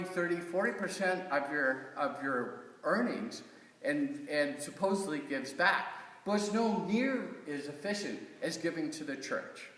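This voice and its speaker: A middle-aged man with an American accent gives an informal, earnest personal testimony to a congregation in a halting, conversational way. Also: fairly high pitch, fairly bright tone. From Kansas, United States. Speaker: church member giving a stewardship talk. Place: large reverberant room, speaking from off the mic. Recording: echoey church hall, distant mic.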